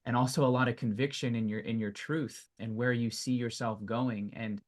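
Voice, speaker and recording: A man hosting a podcast interview, asking measured questions with frequent hedging fillers. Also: mid-range voice, fairly even intonation. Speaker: podcast host.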